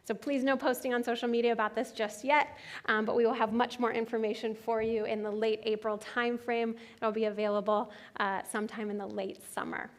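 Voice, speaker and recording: Bright-voiced woman presenting a product. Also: level tone, a little fast, few pauses. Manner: confident, promotional pitch. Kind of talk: keynote product announcement. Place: conference stage.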